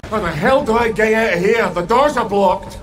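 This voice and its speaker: An actor playing a gruff, sweary, haunted ex-soldier, blunt and stubborn, in a video game. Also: male voice, sits high, moderate pace, fairly bright tone, faint music behind. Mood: wry, urgent. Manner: blunt, profane, exclamatory.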